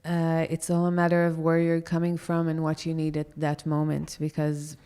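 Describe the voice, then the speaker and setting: A woman's voice: deep voice, completely flat delivery, normal speed, warm tone, clear, resonant tone. A woman from Israel, a yoga teacher and podcast guest, speaking thoughtfully and hesitantly with many fillers in a casual interview.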